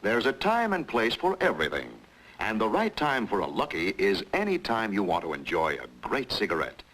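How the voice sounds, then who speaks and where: An American man reading a 1950s advertisement as an announcer, with a polished, measured sales pitch. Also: wildly swooping pitch, fairly bright tone, poor audio. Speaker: commercial announcer. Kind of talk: 1950s cigarette advertisement narration.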